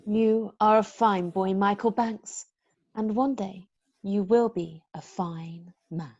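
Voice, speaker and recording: A woman playing a prim, brisk, kindly nanny in an amateur script read-through. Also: mid-range voice, steady tone, darkish tone, highs cut off. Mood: gentle.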